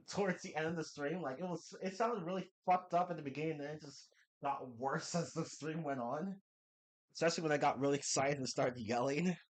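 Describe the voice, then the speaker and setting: A man's voice: on the high side, rough recording. Rambling congested young man streaming. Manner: rambling, restarts and laughs, quick bursts. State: slightly congested from a cold. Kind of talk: casual live-stream chat.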